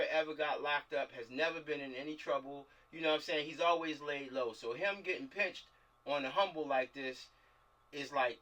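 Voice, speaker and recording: A man with a fairly high, forceful voice directs actors in casual talk, circling back and repeating phrases as he explains. He sounds a little away from the mic on an uneven video call. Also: far from the mic, poor audio. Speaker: film director leading a table read. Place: online video call, distant microphone.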